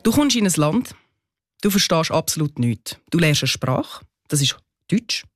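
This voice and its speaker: A man with a Swiss German accent hosting a radio chat, curious and quick with follow-up questions. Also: a little high, big pitch swings, loud music behind the voice. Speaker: radio host.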